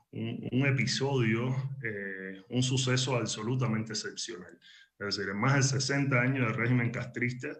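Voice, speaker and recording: A Cuban man speaks as an interview guest at a medium pitch. He is earnest and articulate, building his answers in explanatory phrases and restating openings to drive a point home. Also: a bit bright. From Cuba. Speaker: interview guest, exiled journalist and editor.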